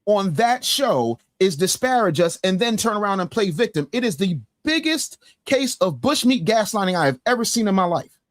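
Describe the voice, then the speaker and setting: A man commenting as a guest on a live stream, fervent and quick to list names. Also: high voice, sing-song, a bit bright, no echo, clean studio sound. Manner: fervent, rapid listing.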